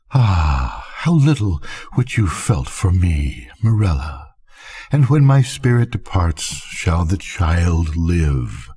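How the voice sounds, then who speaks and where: An older man voicing a dying wife in a gothic story, solemn and fervent, with husky, drawn-out, declamatory delivery. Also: fairly low pitch, dark tone, heavily acted.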